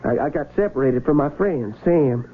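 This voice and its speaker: An actor playing a nervous, polite young Civil War soldier in a radio drama, with a creaky American voice and folksy, halting, stammering speech. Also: male voice, mid-range voice, animated, slight background noise, lightly acted. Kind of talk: 1970s radio drama acting. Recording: narrow, tinny vintage radio broadcast, music behind.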